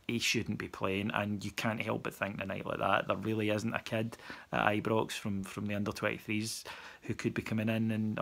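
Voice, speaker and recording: A man's voice: lowish voice, vocal fry, fairly bright tone. A man, giving a dry, wry post-match reaction as podcast host, recorded on a phone at arm's length in a small room. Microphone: phone camera at arm's length.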